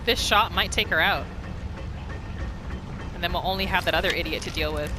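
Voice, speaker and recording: A woman giving casual, animated commentary as she plays a video game, often talking to the characters. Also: lowish voice, moderate pace. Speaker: gaming video host. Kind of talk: casual game playthrough commentary with journal read-alouds. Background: game music.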